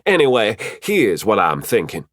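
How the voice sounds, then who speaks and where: A man's voice: on the low side, huge pitch swings, moderate pace, a bit bright, dry recording, studio quality, exaggerated voice. A man voicing a cheerful, teasing female spirit mentor in an audiobook, chatty and fond as she explains things. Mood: explaining. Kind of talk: audiobook character voice.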